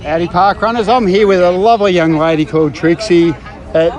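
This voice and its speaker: An upbeat man with a fairly high voice chats with runners outdoors at a park event. He keeps things light and jokey, and quickly asks his next question. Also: steady tone, a little mellow. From Victoria, Australia. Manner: upbeat, chatty, quick to joke. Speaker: podcast host doing roving interviews. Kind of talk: casual roving interview.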